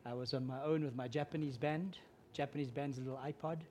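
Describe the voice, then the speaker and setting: A man's voice: mid-range voice, steady tone, fairly quick, slight background noise. Afrikaans-man preaching. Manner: earnest, grows louder and more emphatic when preaching. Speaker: guest preacher. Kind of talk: sermon.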